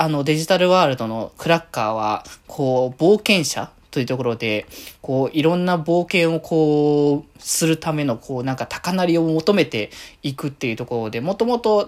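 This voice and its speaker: A man presents a casual fan podcast on his own. His intonation is very animated, rising and falling widely. He thinks aloud as he goes, halting often and stretching out his hesitations. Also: fairly high pitch. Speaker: podcast host.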